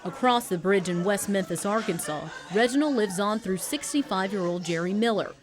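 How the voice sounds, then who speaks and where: A woman news reporter narrates a scripted story. Her voice sits at an average pitch for a woman, with the structured phrasing of a television report. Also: expressive, moderate pace.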